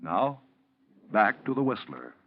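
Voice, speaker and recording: Animated American man, vintage radio announcer. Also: fairly low pitch, takes it slowly. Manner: polished, emphatic. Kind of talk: 1940s radio announcing and sponsor reading. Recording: muffled 1940s radio recording.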